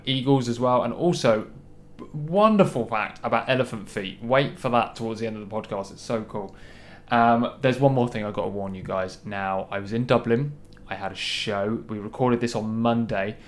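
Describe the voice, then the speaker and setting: A man hosting a casual podcast, chatty and a little croaky from a hangover, with lots of ums. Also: medium pitch, moderate pace. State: hungover, a bit croaky. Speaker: podcast host. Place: large room in a hostel. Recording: echoey large room.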